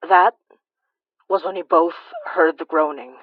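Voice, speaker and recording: A grave, watchful woman narrating eerie horror fiction in a lowish voice. Her delivery is measured, with dramatic pauses, recorded very dry and a little muffled. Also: no room sound. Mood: ominous. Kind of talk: scripted first-person horror narration.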